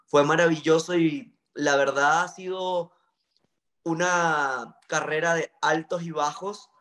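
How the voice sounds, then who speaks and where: A man in his thirties with a Venezuelan accent from Maracaibo talks about his past as an interview guest. His voice sits a little high for a man. He speaks earnestly and reflectively, pausing on fillers as he goes. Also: steady tone, a bit bright. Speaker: journalist guest. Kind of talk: interview storytelling.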